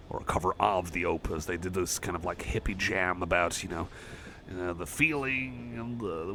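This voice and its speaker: A shy cyborg chum, played by a man, speaks in a very low voice full of gravelly fry. He rambles softly and hesitantly in a friendly, self-effacing ASMR roleplay style. Clear background noise sits behind the voice. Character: shy, friendly young male cyborg music fan. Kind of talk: soft casual ASMR roleplay chat.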